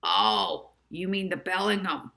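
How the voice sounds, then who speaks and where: A woman voicing a boastful, world-wary male New York cab driver with a deep, husky voice in a storybook reading. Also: big pitch swings, measured pace, sharp tone, heavily acted. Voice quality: husky, deeper.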